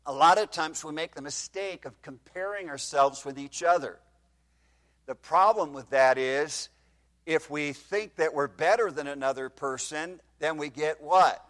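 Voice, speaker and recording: Man in his 50s, preacher, fairly high voice, sermon, asks the congregation questions, speaking into a microphone. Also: unhurried, a bit bright. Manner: reads scripture in King James wording. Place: church hall, slightly echoey.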